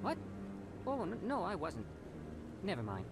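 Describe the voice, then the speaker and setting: Nervous, stammering researcher, swooping pitch. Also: male voice, huge pitch swings, a little slow, heavily acted. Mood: flustered, evasive. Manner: hesitant, stammering, flustered. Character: nervous, evasive male amateur researcher, timid and secretive. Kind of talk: video game dialogue. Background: background music.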